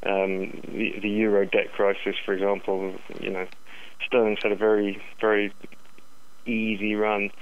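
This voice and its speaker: A man giving market commentary as an analyst in a phone interview, halting and repeating words, over a dull telephone line. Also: on the low side, completely flat delivery, smooth. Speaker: currency analyst, interview guest.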